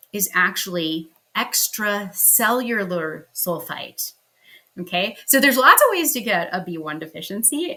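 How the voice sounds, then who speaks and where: Woman explainer, lowish pitch, bright tone, chatty lecture style with fillers, recorded at home. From Pennsylvania, US. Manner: casual and conversational, with frequent ums and likes. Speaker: health educator. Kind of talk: explanatory health lecture over slides.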